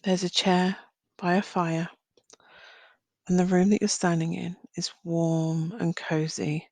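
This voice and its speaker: A woman leading a guided visualisation as a meditation guide, speaking gently in short phrases with long pauses. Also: on the low side, flat delivery, no echo. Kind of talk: guided visualisation, slow soothing narration.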